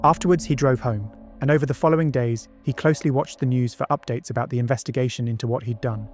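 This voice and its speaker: A man's voice: medium pitch, fairly even intonation, fast, a little mellow. A man narrating a true-crime documentary from a script, with conversational asides. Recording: music bed behind the voice. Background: background music.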